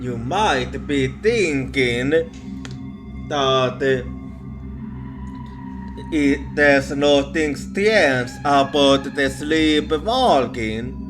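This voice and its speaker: Slow-talking man telling an ASMR ghost story. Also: mid-range voice, lively intonation. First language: not English. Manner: halting, repeats words. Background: music.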